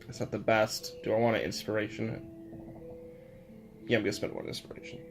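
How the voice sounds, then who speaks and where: A man's voice: on the low side, level tone, fairly quick, vocal fry, over music. A man chatting casually as a player in an in-person tabletop game. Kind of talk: casual chat.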